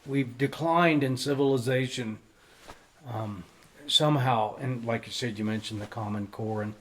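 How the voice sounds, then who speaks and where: A man with a mid-range voice joins in on a podcast. He speaks haltingly, restarts his phrases, and readily agrees with his co-host. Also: medium pitch. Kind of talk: casual political chat.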